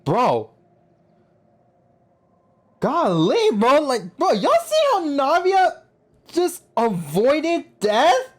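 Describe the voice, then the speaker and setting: A woman's voice: high-pitched, sing-song, extremely slow, long pauses, darkish tone. A woman hosting a gaming video, giving casual, slangy, exclamatory reaction commentary. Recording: game music behind voice. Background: faint game music.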